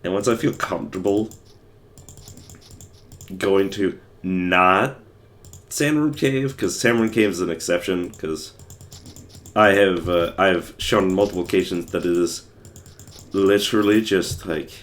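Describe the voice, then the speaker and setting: A hoarse young man who is losing his voice, rambling casually with many fillers while he streams a game. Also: low voice, measured pace, pauses often. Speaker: livestreamer playing a game. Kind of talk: casual gameplay commentary.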